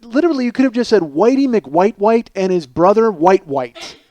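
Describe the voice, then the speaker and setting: A man's voice: high-pitched, animated, normal speed, warm tone, muffled recording. A man in his forties with a lisp, hosting a podcast in a rambling, self-mocking comedic monologue. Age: 40s. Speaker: podcast host.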